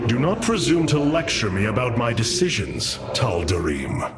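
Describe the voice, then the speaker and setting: An actor playing a noble, stern alien commander in a video game cutscene, speaking in a formal, solemn and authoritative manner. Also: male voice, mid-range voice, sing-song, normal speed, loud music behind the voice, lightly acted. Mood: stern, commanding.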